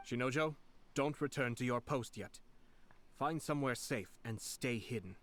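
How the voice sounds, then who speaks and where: An actor playing a calm, calculating young nobleman in an anime game dub, speaking formally and with measured poise. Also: mid-range voice, lightly acted. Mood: composed.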